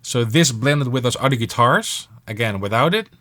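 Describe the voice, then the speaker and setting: A man's voice: mid-range voice, animated, moderate pace, very noisy. A man talking casually as an instructor, explaining music production steps while he demonstrates them.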